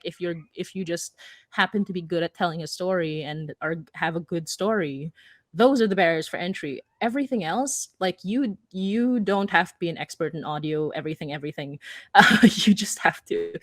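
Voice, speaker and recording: A woman's voice: lowish voice, no room sound, studio quality. A woman from the Philippines speaking casually and informally as a podcaster on a panel, with a self-deprecating way of talking. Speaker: audio drama podcaster and panelist.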